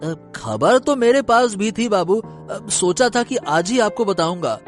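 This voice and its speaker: A man's voice: sits high, animated, a little slow, faint music behind. A man playing a timid, deferential villager in a cartoon story, hesitant and rustic in manner. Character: timid, deferential village men: henchman and anxious farmer father. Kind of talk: Hindi cartoon story acting.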